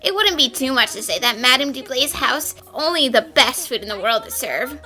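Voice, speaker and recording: A helpful young maid voiced by a woman, courteous and high in pitch. The tone is extremely bright, in cartoon game dub style over music. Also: expressive, moderate pace. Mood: polite. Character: polite, helpful young female house maid. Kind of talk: fan dub game voice acting.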